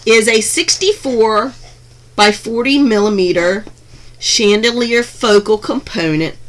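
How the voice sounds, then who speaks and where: A woman chatting through a craft unboxing in her home craft room, gushing over each piece with frequent ums and restarts, her voice a little hoarse from being under the weather. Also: medium pitch, fairly bright tone. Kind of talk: casual unboxing narration.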